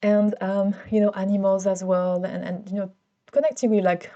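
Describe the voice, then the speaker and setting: A woman's voice: medium pitch, little pitch movement, moderate pace, mellow, highs cut off. A woman in her thirties with a French accent, chatting casually to camera in a solo vlog, often restarting her sentences. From France. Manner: chatty, with frequent restarts and fillers. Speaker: vlogger.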